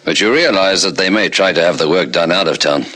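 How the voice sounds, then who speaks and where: A measured, authoritative senior police officer. The voice is deep yet sharp-edged, clipped and deliberate, in old radio drama style. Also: male voice. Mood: measured, official.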